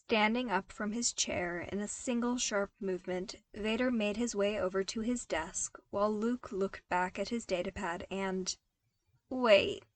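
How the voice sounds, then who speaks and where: A woman narrates fiction in a medium-pitched voice. Her delivery is scripted and expressive, in the manner of an amateur audiobook reader. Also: a little slow. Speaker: podfic narrator.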